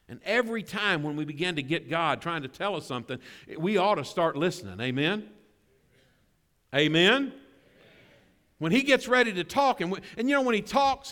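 A middle-aged man preaching a sermon in a church, conversational and animated, drawing responses from the congregation. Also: in a large hall. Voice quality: bass voice. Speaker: pastor.

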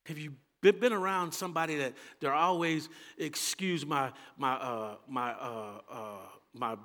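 High, bright Texan man preaching. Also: measured pace, in a large hall. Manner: impassioned preaching, swinging between loud calls and quiet asides. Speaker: pastor. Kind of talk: sermon.

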